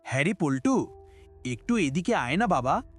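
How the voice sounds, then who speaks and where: A man's voice: on the high side, sing-song, unhurried, husky, soft background music, heavily acted. A man voicing a kindly, reassuring village uncle in a cartoon. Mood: questioning.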